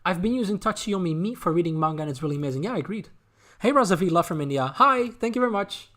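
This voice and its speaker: A man with a Dutch accent chatting casually as a streamer, answering viewer questions in a halting way, often repeating words as they think aloud. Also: high-pitched, lively intonation, fairly bright tone. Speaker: streamer, anime content creator.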